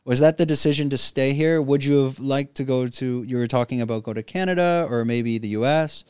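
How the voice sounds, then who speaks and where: Man hosting, smooth and hesitant. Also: medium pitch, brisk, darkish tone, dry recording, clean studio sound. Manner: hesitant questioner, many restarts. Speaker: podcast host. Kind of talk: casual interview chat.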